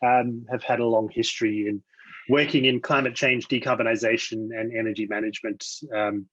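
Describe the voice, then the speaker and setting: A man's voice: mid-range voice, steady tone, brisk, a bit bright. An Australian man presents over a video call in an easygoing, lightly self-deprecating way, with the odd filler. The sound is dry and close, with no room echo. The line is muffled and lo-fi. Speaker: invited speaker. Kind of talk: webinar presentation.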